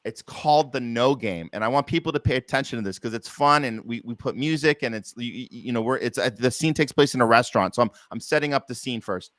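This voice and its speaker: A man's voice: mid-range voice, fast talker, hardly any pauses, a bit bright, no echo. Male host, conversational and effusive, wanders through his points, dry recording. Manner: effusive, appreciative, rambling. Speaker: podcast host, theater producer. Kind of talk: casual interview chat.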